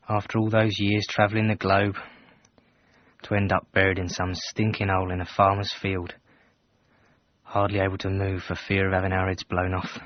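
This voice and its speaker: An actor playing a wistful, devoted English soldier reciting a letter from the front, husky, reflective and measured, in a radio drama. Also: male voice, low-pitched, no room sound, muffled recording.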